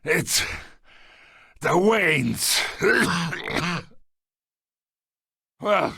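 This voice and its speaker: Crazed game villain, high strained sharp voice, gravelly, ranting in slow bursts, super dry sound. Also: male voice, lively intonation, dry recording, full character voice. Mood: bitterly mocking. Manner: ranting, halting, explosive. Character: unhinged, raving male villain, frantic and bitterly mocking.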